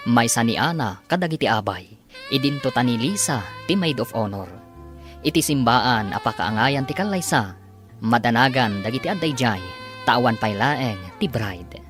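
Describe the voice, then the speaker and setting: Low woman radio drama announcer, lively delivery, music clearly under her. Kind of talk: scripted radio drama narration. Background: music behind the voice.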